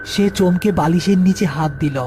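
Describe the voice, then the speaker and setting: A man's voice: high-pitched, normal speed, music in the background. A man narrating a scripted horror folk tale as storyteller.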